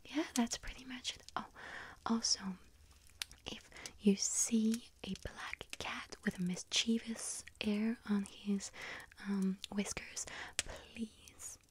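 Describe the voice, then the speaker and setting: A woman doing a whispered ASMR role-play as a friendly young bakery witch, speaking softly and gently with a breathy, hesitant delivery. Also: a little high, fairly even intonation, slow. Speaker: ASMR performer role-playing a bakery shop helper.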